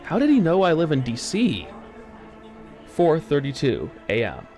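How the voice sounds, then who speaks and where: A man narrating a first-person horror story in a dramatic, tense, expressive way with pauses for suspense. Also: fairly high pitch. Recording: eerie music under the voice. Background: background music.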